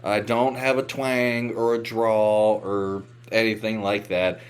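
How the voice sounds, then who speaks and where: A man's voice: fairly low pitch, little pitch movement, slow pace, smooth. An American man from West Virginia hosting a podcast, casually telling anecdotes and acting out the dialogue as he goes. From West Virginia, United States. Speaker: podcast host.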